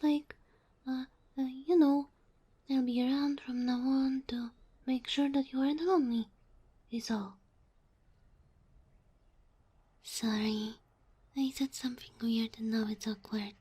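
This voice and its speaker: Woman voicing a breathy, high-pitched, sweet fox-girl spirit. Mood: flustered, bashful. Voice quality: soft, breathy, often whispering. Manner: gentle, bashful, flustered hesitations. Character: sweet, shy, submissive young female kitsune fox spirit familiar. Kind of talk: ASMR roleplay.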